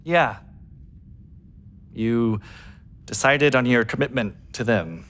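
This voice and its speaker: A man playing a gentle, forgiving, lovelorn astronaut crewmate in a radio drama, halting and soft-spoken. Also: medium pitch. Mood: consoling. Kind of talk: naturalistic radio drama acting.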